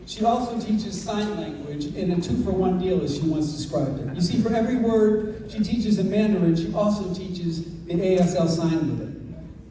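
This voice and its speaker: A man giving a formal, admiring introduction of a teacher at a school assembly. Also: high voice. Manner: warm, admiring, formal delivery. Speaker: school administrator introducing a speaker. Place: large school hall. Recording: echoey hall from far off with room noise.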